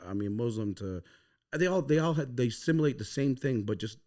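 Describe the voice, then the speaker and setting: A man's voice: medium pitch, lively intonation, a little fast, darkish tone. A man hosting a podcast, asking rambling questions full of "you know what I mean". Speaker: podcast host. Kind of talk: casual interview questions.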